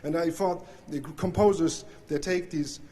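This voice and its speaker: Halting Swiss-accented man giving acceptance speech. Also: on the high side, fairly even intonation, warm tone. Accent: Swiss German. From Switzerland. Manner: halting, many fillers and restarts. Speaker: award inductee. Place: hall with audience. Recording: faint noise from live recording.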